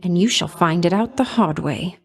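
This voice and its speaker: A woman's voice: on the low side, moderate pace, breathy edge. A woman voicing a flirtatious, vain and jealous goddess of love in a video game, speaking in coquettish, condescending endearments with a veiled threat underneath. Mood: jealous, menacing.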